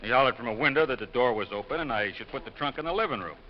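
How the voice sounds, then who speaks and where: A male actor voices a rough small-time crook in an old radio crime drama. He is mid-pitched, blunt and defensive, with slangy grammar. The recording is dull and faintly noisy. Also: animated, racing, close to an everyday voice. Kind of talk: 1950s radio detective drama acting.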